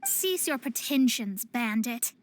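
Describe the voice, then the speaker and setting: High female voice, haughty young astrologist, anime game character, lofty archaic diction, proud and theatrical. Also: animated, slow, a little hiss or hum. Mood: stern, challenging. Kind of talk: anime-style video game voice acting.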